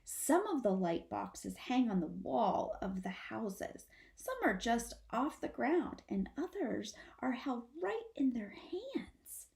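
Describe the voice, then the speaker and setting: A woman voicing a protective mother possum, gravely and emphatically explaining dangers to her babies in a storybook reading. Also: fairly high pitch, very animated, slow pace, few pauses, darkish tone, exaggerated voice. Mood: emphatic warning.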